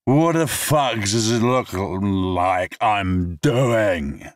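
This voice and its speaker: A man voicing a belligerent drunk man who slurs, shouts and taunts. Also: on the low side, animated, drawn-out words, dry recording, studio quality, pitched above the performer's own voice. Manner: slurred, loud, cocky taunting.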